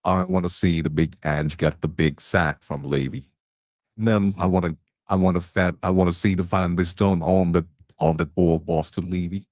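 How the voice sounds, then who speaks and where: A man's voice: deep voice, fairly even intonation, normal speed, darkish tone, dry recording, studio quality. A man playing a gossiping canteen tea lady, chatty and blunt with plenty of slang. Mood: grumbling.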